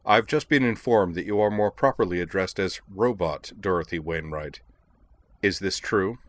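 Man acting a stern, cutting, low-voiced professor. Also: moderate pace, no room sound, close to an everyday voice. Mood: cold, clipped. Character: cold, harsh, indignant male literature professor, scornful and commanding.

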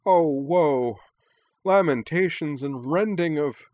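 Man voicing an excitable, high-pitched young woman racer. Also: moderate pace, no echo. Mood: mock despair. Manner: gushing, theatrical, excitable. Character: exuberant, playful young woman racer, cheerfully melodramatic.

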